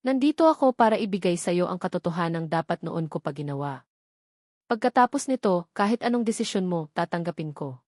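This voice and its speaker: A woman's voice: lowish voice, lively intonation, a little fast, darkish tone, no room sound, studio quality. A woman voicing a guilt-ridden, earnest man with a restrained, pleading manner in a romance audio drama. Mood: grave, restrained.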